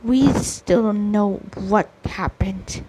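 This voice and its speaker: A woman voicing a wary, thoughtful young female character in a video game, hesitant and deliberate. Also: mid-range voice, dark tone, husky, poor audio. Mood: wary, pondering.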